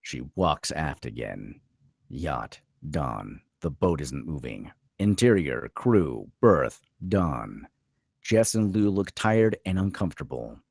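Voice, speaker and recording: A man's voice: rumbling low, sing-song, takes it slowly, vocal fry, a little mellow, husky, dry recording, clean studio sound. A man reading stage directions as narrator at a screenplay table read.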